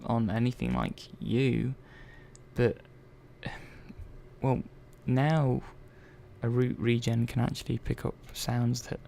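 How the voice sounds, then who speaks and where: A man playing an earnest, hesitant robot repair technician in a soft-spoken ASMR roleplay, halting and trailing off mid-sentence. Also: medium pitch, animated, gravelly, slight background noise. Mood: cautious, reassuring.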